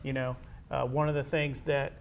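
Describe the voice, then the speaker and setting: A man's voice: level tone, moderate pace, gravelly. A man with an American accent hosting a conversational interview about school athletics as an administrator. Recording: background noise with low rumble.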